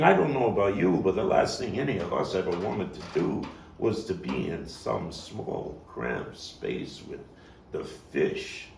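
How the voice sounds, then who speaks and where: A man performing a theatrical monologue as a coarse, wry, streetwise Brooklyn man looking back on his boyhood gang, telling it with dramatic pauses and emphatic swells. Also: sits low, vocal fry, heavily acted. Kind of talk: theatrical monologue, table read. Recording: roomy, distant mic, faint noise.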